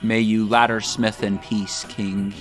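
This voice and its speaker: A man's voice: on the low side, level tone, slow, soft background music. A man hosting a scripted comedic video essay, speaking in a mock-grandiose, tongue-in-cheek way.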